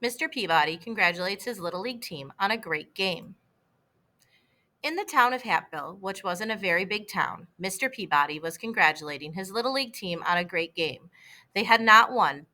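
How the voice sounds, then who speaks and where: A woman with a bright, clear-cut storytelling delivery reads a children's book aloud. Her pitch sits in the middle, and she narrates expressively and deliberately. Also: lively intonation. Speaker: storybook reader.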